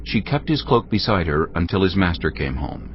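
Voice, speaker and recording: A man giving solemn, measured scripted narration for a dramatized audio Bible. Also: low voice, very animated, slight background noise. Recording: muffled audio with music underneath. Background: background music.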